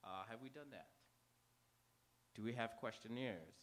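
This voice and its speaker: Male pre-show host, medium pitch, informal announcements to a live auditorium, unpolished and conversational delivery. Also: a little slow, a bit bright, slight background noise. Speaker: host warming up a live theater audience. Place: on stage in an auditorium before a live audience.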